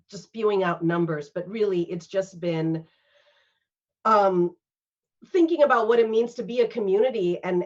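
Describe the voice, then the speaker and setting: A woman from Singapore, an arts fund organizer, speaking earnestly and from the heart in a panel conversation over a video call. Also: on the low side. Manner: earnest, heartfelt, with frequent fillers. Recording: dull lo-fi video call audio.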